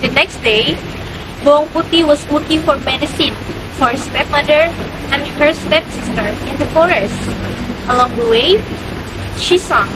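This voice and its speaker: A woman's voice: high voice, normal speed. A young woman reading scripted folktale narration as the storyteller. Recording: noisy background hum.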